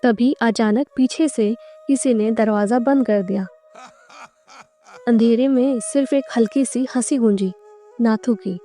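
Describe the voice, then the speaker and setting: Female narrator for cartoon fables: warm dark tone, slightly high register, scripted storytelling, faint music bed. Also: unhurried.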